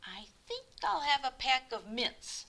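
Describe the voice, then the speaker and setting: A woman's voice: sits high, big pitch swings, measured pace, creaky, pushed and bright, voice pushed up, exaggerated voice. A woman voicing a shy, lonely storybook man who is earnest, courteous and eager to help. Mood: polite, eager. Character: shy lonely grown man turning delighted and helpful.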